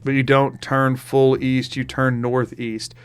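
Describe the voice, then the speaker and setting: A man's voice: medium pitch, completely flat delivery, takes it slowly, smooth, soft background music. A man with a Southern US accent, streaming and chatting casually in a rambling, self-interrupting way. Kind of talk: casual chat while gaming.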